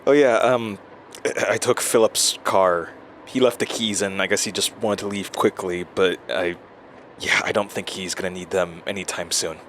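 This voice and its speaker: Scattered nervous man doing an audio journal, lowish voice, stammers and mutters to himself, car noise behind. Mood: haunted, confiding. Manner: halting, stammering, talking to himself. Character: rattled, scattered, self-doubting man recording an audio journal. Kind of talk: audio drama monologue. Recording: steady noise, like inside a moving car.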